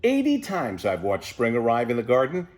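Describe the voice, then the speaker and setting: Man voicing elderly male storybook tortoise, content, leisurely, full of wonder, mid-pitched, richly varied intonation. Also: huge pitch swings, normal speed, darkish tone. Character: contented, unhurried eighty-year-old pet tortoise, male, storybook narrator.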